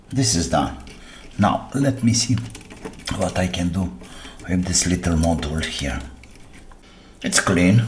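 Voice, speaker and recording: Guy w a really low slow voice, mumbling to himself while he fixes electronics, short bits w pauses. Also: a little hiss or hum. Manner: muttering to himself, unhurried, pausing between short phrases. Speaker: electronics repair host. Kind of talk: casual workbench repair narration.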